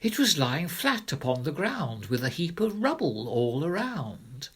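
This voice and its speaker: Male narrator for rhyming children's storybook: theatrical, highly varied pitch, slow pace, slightly husky. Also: big pitch swings, a bit bright. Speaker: narrator, reader of children's poems.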